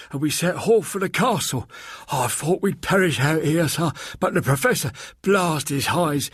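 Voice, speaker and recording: A hulking servant woman, terrified and frantic, gasps out her words in rough rustic grammar, as a man puts her on. The voice sits far higher than the reader's own, slightly husky, in a dry close recording for an audiobook. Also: no echo, heavily acted. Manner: frantic, breathless, rustic grammar, calls listener sir. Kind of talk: audiobook character voice.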